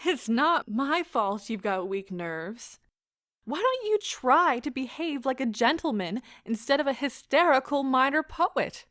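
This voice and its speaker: A woman playing an anguished, proud wife in an audio drama, speaking with deliberate emotional intensity. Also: sits high, sing-song, fairly bright tone, no room sound, studio quality, exaggerated voice. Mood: scornful. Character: anguished, proud wife pleading as her marriage ends.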